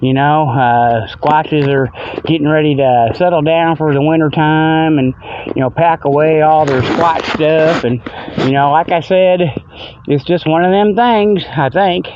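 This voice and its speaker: A man's voice: fairly high pitch, measured pace, highs cut off, background noise. An older man hosting a hobby radio show, rambling in a folksy, joking, meandering way. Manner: folksy, meandering, chuckles at own jokes. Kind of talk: rambling casual monologue, joking. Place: outdoors by a river.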